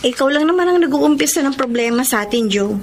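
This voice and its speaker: A woman's voice: high voice, lively intonation, fast talker. A woman acting a coy, evasive young girlfriend in a Tagalog radio drama. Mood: playfully chiding. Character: Ann, evasive young girlfriend with a secret admirer. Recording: background noise and scene ambience.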